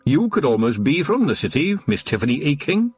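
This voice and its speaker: A man voicing a sharp-tongued old city woman with a dry, sly manner. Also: on the high side, very animated, a little fast, breathy edge, dry recording, pitched above the performer's own voice.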